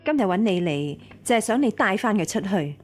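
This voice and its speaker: An actress playing an imperious first wife of a wealthy household in a radio drama, curt and commanding. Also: female voice, medium pitch, very animated, brisk. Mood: cold, dismissive.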